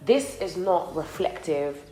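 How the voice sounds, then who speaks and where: A woman's voice: lowish voice, animated, slow, dark tone, roomy sound, soft background music, rough recording. A young woman, chatting to her viewers in a casual vlog while doing her makeup, sounding slightly nasal from a recent cold. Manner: rambling and chatty, talks to viewers as friends. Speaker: beauty vlogger. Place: co-working office space, indoors.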